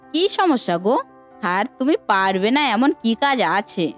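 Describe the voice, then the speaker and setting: A woman's voice: fairly high pitch, lively intonation, moderate pace, faint music behind, natural voice. A woman voicing an affectionate, earnest village wife who reassures her husband in a cartoon story. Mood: encouraging. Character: supportive, encouraging village wife of a biryani cook. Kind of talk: Bengali cartoon story voice acting.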